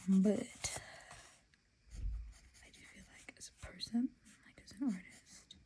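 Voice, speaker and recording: Woman whispering thru a design session, mellow low-key voice, faint hiss behind. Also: measured pace. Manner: soft whisper, chatty and self-talking. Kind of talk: whispered casual chat while sketching designs.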